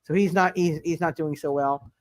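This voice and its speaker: A man's voice: a little high, steady tone, normal speed. A man narrating a live tabletop superhero game as game master, stammering and often repeating his words.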